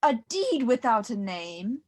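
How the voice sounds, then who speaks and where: A young woman playing an ominous witch in a Shakespearean radio play, speaking in a deliberate, chanting manner. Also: fairly high pitch, big pitch swings, a little mellow, heavily acted. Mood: sinister chanting. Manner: incantatory, deliberate. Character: eerie, ominous witch chanting over cauldron. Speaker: student performer.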